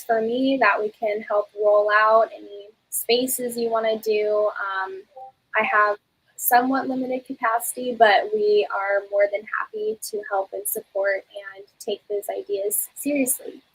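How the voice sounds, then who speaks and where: A woman's voice: mid-range voice, vocal fry, husky, low-quality recording. A woman hosting an online community session, chatty and encouraging, with frequent ums. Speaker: community host.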